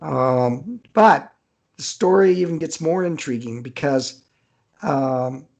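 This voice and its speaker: A man's voice: fairly high pitch, animated, takes it slowly, darkish tone. A man speaking as an author guest in a casual interview.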